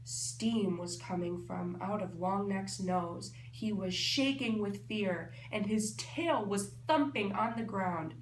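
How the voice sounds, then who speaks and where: A woman's voice: medium pitch, slow, few pauses. A woman teacher reading a storybook aloud expressively, explaining and thinking aloud for children. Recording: echoey room, faint background noise.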